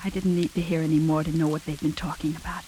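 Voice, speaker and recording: An actress playing a guarded, brave female hostage in 1940s radio noir style, speaking earnestly with hesitant pauses. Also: low voice, level tone, warm tone, lightly acted, poor audio. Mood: frightened. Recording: hissy 1940s radio recording, rain behind.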